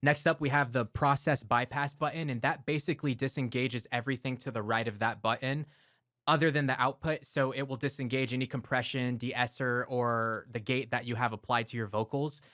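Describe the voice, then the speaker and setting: A man of medium pitch walks through studio gear in a relaxed, step-by-step way, with the odd filler word. He talks close into a broadcast mic in a home studio, and the sound is dry with no room echo. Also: a little fast. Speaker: audio gear reviewer. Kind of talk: explanatory gear walkthrough. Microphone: close broadcast dynamic mic.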